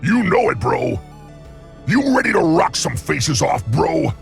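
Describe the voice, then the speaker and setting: A man voicing a rowdy headbanging heavy metal rocker in a video game, with a gravelly voice. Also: a little high, very animated, unhurried, soft background music, full character voice. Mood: rowdy, eager. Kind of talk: video game hero voice lines.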